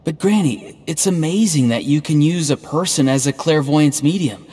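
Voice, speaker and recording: An actor playing a calm, earnest, self-sacrificing young man in an anime game, with an understated delivery. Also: a little high, moderate pace, breathy edge. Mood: mildly surprised. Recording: long reverb, music behind.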